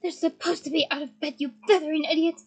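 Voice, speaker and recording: A woman's voice: very high-pitched, steady tone, moderate pace, mellow. A woman voicing a stern, commanding elderly witch in a storybook reading. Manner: commanding, clipped.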